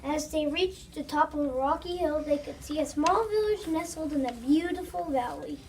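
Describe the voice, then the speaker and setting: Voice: high-pitched, moderate pace. A child narrating a scripted story aloud as part of a reader's theater. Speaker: student narrator. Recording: distant mic, noisy room ambience.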